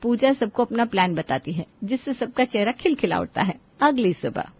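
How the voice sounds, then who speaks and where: A woman's voice: fairly high pitch, animated, normal speed, vocal fry, breathy edge, no room sound, lo-fi sound. A woman reading scripted narration for a cartoon moral story.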